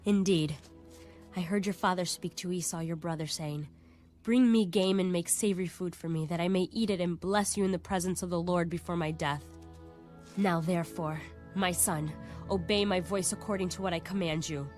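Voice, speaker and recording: Woman playing a low, commanding scheming mother. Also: steady tone, normal speed, a little mellow, close to an everyday voice. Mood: urgent, scheming. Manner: firm, urgent, formal. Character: commanding, scheming mother urging her son, Bible matriarch. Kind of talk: dramatised audio Bible acting. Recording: faint background music under the voice.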